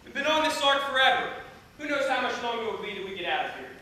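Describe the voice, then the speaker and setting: A young man on stage playing a bossy, quarrelsome biblical son, scolding with loud, emphatic delivery. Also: very high voice, lively intonation, fast, full character voice. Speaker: amateur stage actor. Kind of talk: loud, declamatory school stage acting. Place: theatre stage, distant audience camera. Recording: echoey stage, recorded from far off, hiss.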